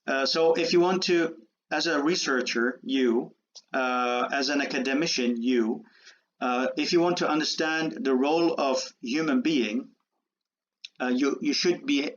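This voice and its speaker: A man born and raised in the Netherlands giving a university lecture, halting with many fillers and restarts. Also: medium pitch, a bit bright. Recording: dull lo-fi lecture audio.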